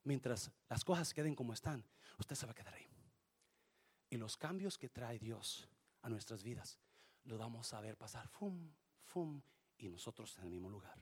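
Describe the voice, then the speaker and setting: A male pastor with a Spanish accent, preaching a sermon that switches between Spanish and English and swings from quiet to shouting. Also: medium pitch, animated, moderate pace, slightly rough. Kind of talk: bilingual sermon.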